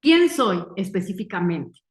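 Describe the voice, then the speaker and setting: A Costa Rican woman in her forties with a Costa Rican accent, giving an earnest success-story testimonial in short, deliberate, emphatic phrases. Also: mid-range voice, very animated. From Costa Rica. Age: 40s. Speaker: marketing entrepreneur giving a testimonial. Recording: roomy echo.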